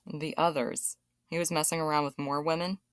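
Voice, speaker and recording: An actress playing a sympathetic, inquisitive aunt who asks many questions. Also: female voice, sits low, level tone, fairly quick, clear, resonant tone, no echo, clean studio sound, close to an everyday voice. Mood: surprised. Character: concerned, curious aunt. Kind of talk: audio drama acting.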